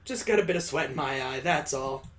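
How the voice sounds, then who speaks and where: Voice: mid-range voice, fairly quick, fairly bright tone, pitched below the performer's own voice, natural voice. A let's play host voicing a weary, good-humored father in a visual novel, teasing yet sheepish. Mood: sheepish, tearful.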